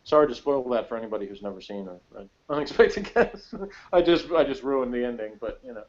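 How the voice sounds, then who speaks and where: A man's voice: medium pitch, lively intonation, a little mellow. Rambling man chatting on dull lo-fi audio. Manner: rambling, halting, self-interrupting. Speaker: podcast co-host. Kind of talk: casual chat reviewing TV episodes.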